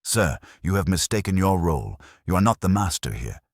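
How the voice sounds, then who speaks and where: Guy doing a big imperious older detective, super deep voice, calm n formal, storybook mystery. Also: fairly quick, no echo, studio quality. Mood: stern, authoritative. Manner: ponderous, formal, authoritative.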